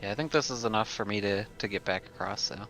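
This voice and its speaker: Male tabletop player, bright forward voice on the lower side, casual and tentative, faint music behind. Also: quick pace, rough recording. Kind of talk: casual in-game chat.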